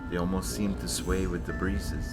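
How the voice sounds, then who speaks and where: A man in a dramatic reading playing a hard, taunting polar explorer with grim philosophy, in a theatrical, cutting and deliberate delivery. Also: deep voice, level tone, lightly acted. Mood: somber, musing. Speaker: stage actor. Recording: loud music behind the voice. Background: music.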